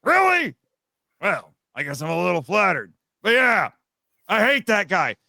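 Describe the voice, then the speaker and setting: Man putting on a blustering high-pitched skeleton villain. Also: huge pitch swings, measured pace, vocal fry, sharp tone, no room sound, studio quality, full character voice. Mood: gruff grudging. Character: blustering, hot-tempered skeleton villain, loud and vengeful. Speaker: guest voice actor playing his character. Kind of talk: improvised in-character banter.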